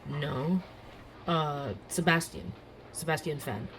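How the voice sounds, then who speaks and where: A man acting a nervous, eager young barista and podcaster in an urban fantasy audio drama, halting and apologetic. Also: fairly high pitch, steady tone, a little hiss or hum. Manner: halting, self-interrupting, awkward.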